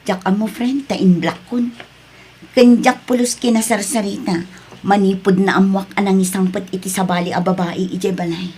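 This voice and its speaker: A woman's voice: mid-range voice, steady tone, moderate pace, dark tone, breathy edge. A woman playing a composed, hurt mother working abroad in an Ilocano radio drama. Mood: earnest, resolved. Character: Maria, heartbroken but composed mother working abroad, betrayed by her husband.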